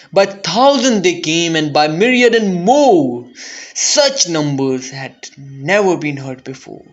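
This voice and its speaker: A man giving a dramatic, deliberate recital of a narrative poem, with theatrical intensity. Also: fairly high pitch, lo-fi sound. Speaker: poetry reader.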